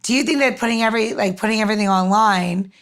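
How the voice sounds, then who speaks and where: A woman's voice: medium pitch, fast talker, fairly bright tone. A woman co-hosting a podcast, asking questions casually in rambling, circling phrases. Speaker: podcast co-host.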